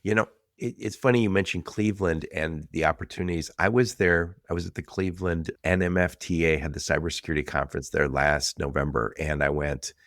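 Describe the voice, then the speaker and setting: A man's voice: very deep voice, steady tone, brisk, hardly any pauses, fairly bright tone, dry recording, studio quality. A man with an American Midwestern accent, chatting casually as the host of an interview show. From suburban Detroit, Michigan, United States. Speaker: podcast host. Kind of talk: casual conversational interview chat.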